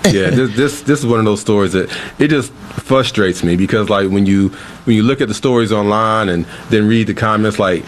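A man's voice: on the low side, moderate pace. Casual male radio producer. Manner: casual, frustrated, repeats words when heated. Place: radio studio.

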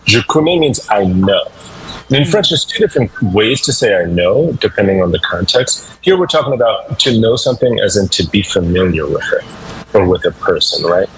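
A man's voice: lowish voice, animated, normal speed, fairly bright tone, breathy edge, poor audio. A man hosting a radio language segment, upbeat and instructive, drilling listeners to repeat phrases. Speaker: language teacher segment host.

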